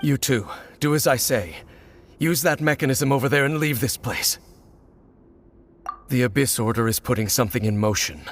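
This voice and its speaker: A man's voice: a little hiss or hum, close to an everyday voice. A man playing a grave, guarded wanderer. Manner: measured, commanding, low-key delivery. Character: grave, mysterious male wanderer, calm and guarded. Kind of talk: video game dialogue. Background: soft game music.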